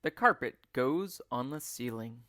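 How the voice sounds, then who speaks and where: Man, children's storybook narration, teacher reading aloud, expressive, measured pace, very lively intonation, dry sound. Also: medium pitch, dry recording. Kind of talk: children's storybook narration, scripted read-along.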